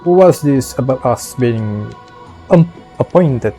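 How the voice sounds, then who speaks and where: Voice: mid-range voice, expressive, slow pace, warm tone. A game streamer reacting casually in brief, laid-back remarks while playing. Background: faint game music.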